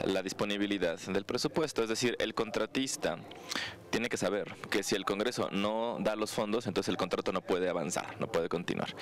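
A man interpreting a lecture aloud, clause by clause. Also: sits low.

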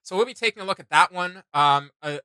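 A man's voice: sits high, lively intonation, fast, sharp tone, clean tone, no echo. A man in his thirties chatting casually and emphatically as an enthusiastic host of reaction videos. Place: small home room with computer desks, indoors.

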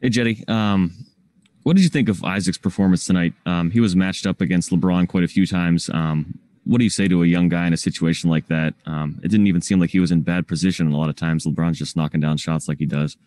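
A male reporter with a very deep, dark voice rattles off his questions quickly. He sounds dry and close to the mic. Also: fairly even intonation, no echo. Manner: quick, filler-led questions. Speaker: sports reporter.

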